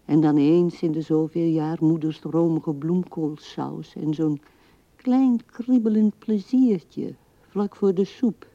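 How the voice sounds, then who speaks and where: A woman's voice: sits low, takes it slowly. An actress playing an old widowed woman who muses tenderly and drifts as she talks to herself in a radio play, on a dull older recording. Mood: wistful, amused. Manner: musing, drifting, talking to herself and the absent.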